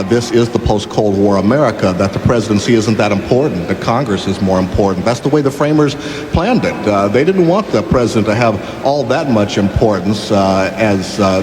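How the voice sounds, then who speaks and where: A man giving casual political commentary as a guest, with hesitant, drawn-out phrasing and joking asides. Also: lowish voice, expressive. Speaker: pundit guest. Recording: echoey, reverberant, noisy background.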